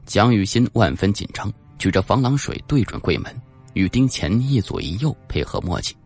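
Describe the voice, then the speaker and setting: A man's voice: lowish voice, sing-song, normal speed, vocal fry, slightly rough, soft background music. A man narrating a suspense novel in a scripted, dramatic audiobook style.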